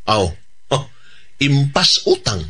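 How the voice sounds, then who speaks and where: A man's voice: medium pitch, big pitch swings, slow pace, fairly bright tone, slightly rough, exaggerated voice. A man with a Cebuano Filipino accent playing a cheerful hired killer in a radio drama, deferential to his bosses and chuckling between sentences. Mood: amused, boastful.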